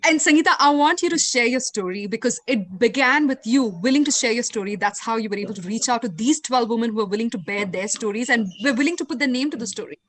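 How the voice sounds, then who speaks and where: A woman's voice: fairly high pitch, lively intonation, fast talker, hardly any pauses, rough recording. A woman moderating a panel discussion, speaking with polished, emphatic phrasing.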